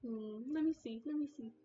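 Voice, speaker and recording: A soft-spoken young woman with a quiet voice, chatting hesitantly and giggling often on a casual live stream. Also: high voice, normal speed, highs cut off. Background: soft background music.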